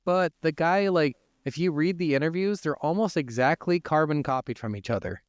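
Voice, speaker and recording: A man's voice: fairly high pitch, normal speed, no room sound, clean studio sound. A man hosting a gaming podcast, chatting casually and informally with some swearing. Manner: informal, profane. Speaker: podcast host.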